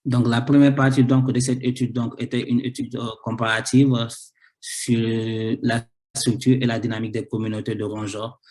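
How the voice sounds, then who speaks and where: A man's voice: medium pitch, little pitch movement, moderate pace, a little mellow. Hesitant man with a cold presenting research. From Senegal. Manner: hesitant, repeats words, many fillers. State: has a cold. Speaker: researcher presenting his thesis. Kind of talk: academic conference talk.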